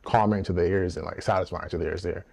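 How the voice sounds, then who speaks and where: A young man with a deep voice, chatting upbeat and animated as a guest in a casual interview, often laughing. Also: quick pace, gravelly. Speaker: guest content creator.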